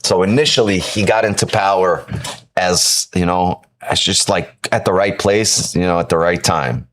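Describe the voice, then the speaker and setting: Emphatic, lowish-voiced man from Ukraine. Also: moderate pace, fairly bright tone, slight background noise. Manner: emphatic, repeats himself, many "right?" tags. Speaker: live-stream trading host. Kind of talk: casual live-stream talk answering chat.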